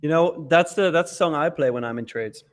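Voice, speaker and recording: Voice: medium pitch, fairly quick. A co-host on a live trading stream, chatting casually, teasing the others and laughing often. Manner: teasing and jokey, laughs a lot. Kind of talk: casual chat.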